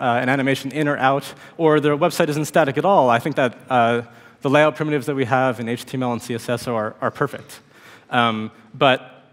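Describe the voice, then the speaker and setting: A man in his thirties with a cold and a slightly hoarse voice, giving a relaxed technical talk on a conference stage with many fillers. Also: medium pitch. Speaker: conference speaker. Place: large conference hall stage. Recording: reverberant hall.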